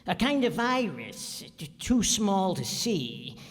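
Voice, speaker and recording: A woman plays a dignified but dithery carrot ruler in a radio comedy. The delivery is unhurried, formal and halting, with hall reverb around it. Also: mid-range voice, very animated. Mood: wistful, resigned. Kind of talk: old-time radio comedy acting. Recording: reverberant hall stage recording.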